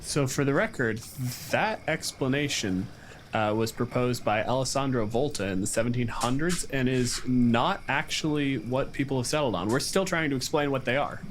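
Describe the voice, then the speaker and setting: Male podcast host, average pitch, informal explainer style, some noise on the recording. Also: moderate pace. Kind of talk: casual panel discussion with swearing.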